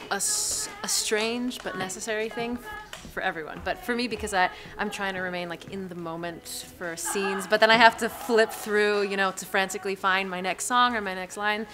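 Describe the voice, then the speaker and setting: A woman's voice: mid-range voice, moderate pace. A woman in her twenties, a stage actress, chatting casually in an interview. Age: 20s. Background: music.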